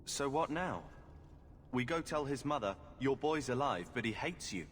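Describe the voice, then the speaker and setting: A man's voice: medium pitch, expressive, moderate pace, a little hiss or hum, close to an everyday voice. A man playing a sceptical, disapproving adventurer in video game dialogue.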